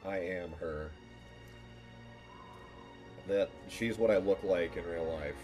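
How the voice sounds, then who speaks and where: Slow-paced man chatting on a livestream. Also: deep voice, dark tone, faint music behind. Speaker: streamer and voice actor. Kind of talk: casual livestream chat.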